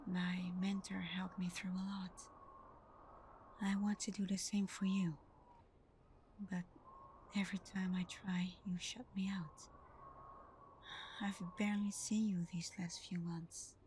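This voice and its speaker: Casting: actress as tender, wounded female vampire lover for ASMR roleplay; soft half-whisper, unhurried hesitant delivery, faint noise behind. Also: medium pitch, level tone, natural voice. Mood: hurt, pleading. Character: caring, hurt vampire girlfriend. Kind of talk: ASMR roleplay, intimate scripted acting.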